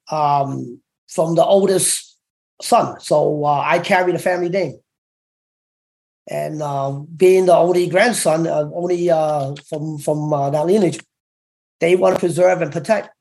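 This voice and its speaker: A middle-aged man from Brooklyn with a slight Cantonese-tinged New York accent, telling his story in an interview, halting and full of fillers and restarts. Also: a little high, gravelly, darkish tone. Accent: Cantonese-tinged New York English. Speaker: interview guest, former gang member.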